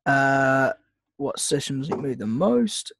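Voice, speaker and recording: A man's voice: mid-range voice, big pitch swings, takes it slowly, gravelly, a bit bright, husky. A man with a slight cold, casually teaching a trading webinar, rambling with lots of ums and restarts. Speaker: trading mentor hosting a webinar. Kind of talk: casual screen-share teaching.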